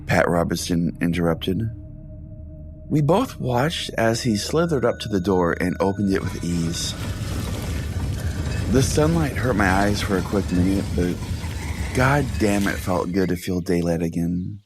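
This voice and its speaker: A man's voice: deep voice, expressive, unhurried. A man narrating a scripted first-person comic story as a podcast host. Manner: profane. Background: guitar music.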